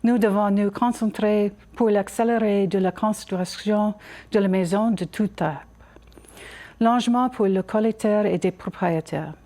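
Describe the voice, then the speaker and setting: A woman with a soft, mellow voice and an English Canadian accent reads a scripted campaign speech. She is a non-native speaker, so the delivery is halting, with small stumbles over the words. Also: medium pitch. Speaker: city council candidate.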